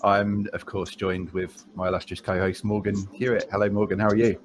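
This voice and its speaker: A man's voice: on the low side, flat delivery, fairly quick, background noise. A man hosting a podcast conversationally, asking polite, rambling questions. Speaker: podcast host.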